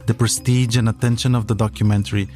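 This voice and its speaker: A man's voice: lowish voice, little pitch movement, fast, darkish tone, faint music behind. A man narrating a scripted documentary podcast as its storyteller.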